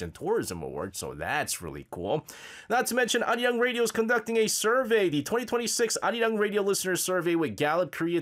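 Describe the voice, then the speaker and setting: Lively, slightly nasal male radio host. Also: medium pitch. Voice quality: a bit nasal. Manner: energetic, bouncy delivery with many fillers. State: has a slight cold, drowsy. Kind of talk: upbeat radio show announcements.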